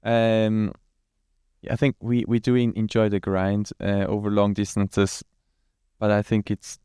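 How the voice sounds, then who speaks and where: A man from Switzerland, answering interview questions in a relaxed, hesitant way. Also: fairly low pitch, a little slow, clean tone, dry recording, clean studio sound. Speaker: guest, venture partner.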